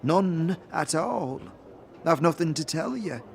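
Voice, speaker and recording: A man's voice: a little high, expressive, slow pace, creaky, slight background noise, heavily acted. A man voicing a cryptic female fortune teller in a fantasy audio drama, portentous and archaic in manner. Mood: cryptic, solemn. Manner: mysterious, portentous, archaic phrasing. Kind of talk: audio drama character acting.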